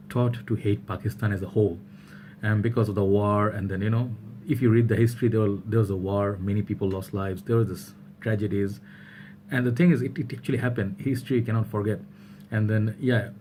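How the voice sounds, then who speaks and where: Low-voiced Bangladeshi man rambling to camera. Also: dark tone, slight background noise. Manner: rambling, many fillers and restarts. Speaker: vlogger. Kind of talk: casual talk to camera.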